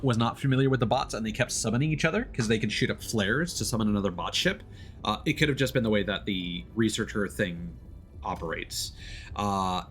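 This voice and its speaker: Guy with a lowish voice doing a let's play, chatty rambly explaining, kinda informal. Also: a bit bright. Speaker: game streamer. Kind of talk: casual let's-play commentary.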